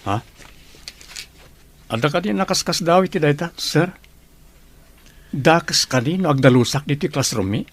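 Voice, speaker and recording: A man's voice: a little high, animated, normal speed, long pauses. A man with an Ilocano accent playing a patient, humble schoolteacher in an audio drama. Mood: calm, firm. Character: earnest, patient male schoolteacher, humble and hardworking. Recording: clearly noisy background.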